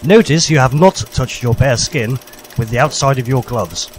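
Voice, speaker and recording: A man's voice: mid-range voice, moderate pace, slight background noise. A man narrating a parody vintage public information film in a formal, jolly, old-fashioned instructor's manner.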